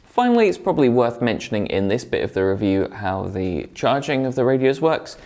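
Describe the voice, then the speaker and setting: A man's voice: medium pitch, sing-song, fairly quick, darkish tone. A man presenting a product review in a conversational, explanatory way, partly scripted.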